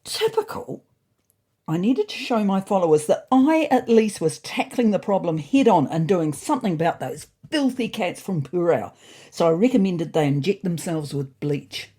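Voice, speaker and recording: A woman with a slightly husky voice reading a scripted comic first-person story in an expressive, indignant, in-character manner. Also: lowish voice, moderate pace. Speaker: narrator of an audio story.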